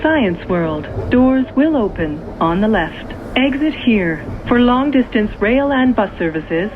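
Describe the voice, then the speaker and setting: A woman reading scripted transit announcements in a measured, clearly segmented way, as a train announcer. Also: fairly high pitch, expressive. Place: played over speakers inside a train. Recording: tinny band-limited speaker, noisy train interior. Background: train and vehicle noise.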